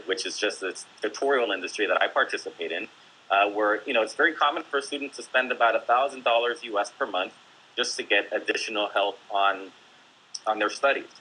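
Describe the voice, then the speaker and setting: A man's voice: fairly low pitch, sharp tone, slight background noise, low-quality recording. A man, a teacher, speaking as a guest on a discussion panel, explaining earnestly with many fillers.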